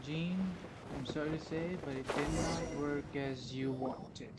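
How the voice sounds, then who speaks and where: A gamer giving casual let's-play commentary in brief, low-key reactions. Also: steady tone, a little slow, heavy background noise. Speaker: gaming YouTuber. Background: loud game music.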